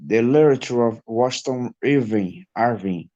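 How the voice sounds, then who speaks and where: A male English teacher whose first language is Portuguese, speaking halting English full of fillers over a video call. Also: mid-range voice, warm tone, muffled recording. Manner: halting non-native English, many fillers. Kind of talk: casual conversation practice.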